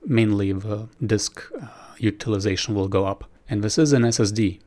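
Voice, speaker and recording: A man's voice: fairly low pitch, moderate pace, mellow, a little hiss or hum. A man presenting a screen-recorded tutorial, explaining each step informally.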